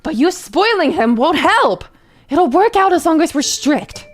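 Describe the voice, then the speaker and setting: A woman's voice: extremely high pitch, big pitch swings, measured pace, faint music behind, heavily acted. A woman playing a stern, traditional gravekeeper father in a drama, speaking in a strict, scolding manner. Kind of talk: dramatic character acting.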